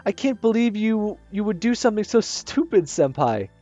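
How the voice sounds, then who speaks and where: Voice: mid-range voice, big pitch swings, normal speed, mellow, highs cut off, faint music behind, pitched above the performer's own voice, lightly acted. A gamer reading as a tearful shy schoolgirl. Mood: distraught. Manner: pleading, voice wavering.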